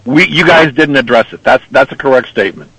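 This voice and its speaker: A man's voice: medium pitch, lively intonation, a little fast, bright, forceful tone, far from the mic, low-quality recording. A man debating politics on a radio panel with insistent, pointed questions. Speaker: panel guest.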